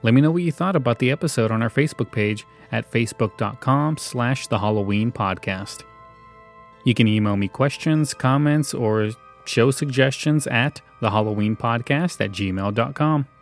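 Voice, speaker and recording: A man's voice: mid-range voice, moderate pace. A man hosting a podcast solo with casual, conversational commentary. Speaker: podcast host. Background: faint music.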